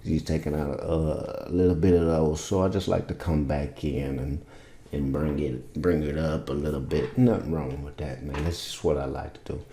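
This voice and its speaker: A man's voice: extremely low pitch, fairly even intonation, normal speed, warm tone. A man explaining his vocal mix settings in a loose, rambling, casual tutorial from a home studio. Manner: laid-back, rambling, loose and informal. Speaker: music producer teaching vocal mixing. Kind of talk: casual screen-share tutorial. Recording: roomy echo.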